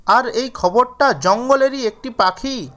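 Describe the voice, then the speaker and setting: High-pitched male storyteller. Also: slow, a bit bright. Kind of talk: scripted fairy-tale narration for cartoons.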